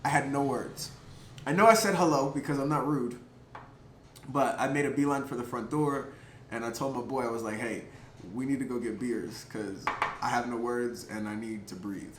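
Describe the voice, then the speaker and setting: A man telling a personal story casually to camera, chatty and animated, with lots of filler words. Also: medium pitch, moderate pace. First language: English. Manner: chatty, lots of "like" and "um" fillers, laughs between thoughts; animated, gets louder at exciting moments. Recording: roomy echo, faint background noise.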